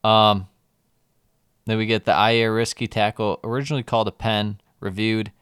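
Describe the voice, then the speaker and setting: A man's voice: fairly low pitch, little pitch movement, moderate pace, a bit bright, clean tone, no echo, clean studio sound. A man co-hosting a football podcast in casual chat, reading out lineups and joking about player names. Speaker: podcast co-host.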